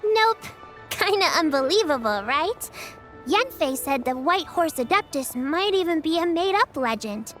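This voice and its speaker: A woman's voice: extremely high pitch, lively intonation, unhurried, fairly bright tone, soft background music, heavily acted. A woman voicing a sweet, earnest little girl in a video game, chatty and reassuring as she explains things. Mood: earnest, explanatory. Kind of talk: video game story voice acting.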